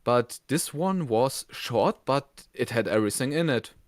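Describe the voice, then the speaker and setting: A man co-hosts a review in a casual, conversational style. His voice is smooth and clear at a medium pitch, recorded close and dry. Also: animated, a little slow, no room sound. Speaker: co-host of a review show.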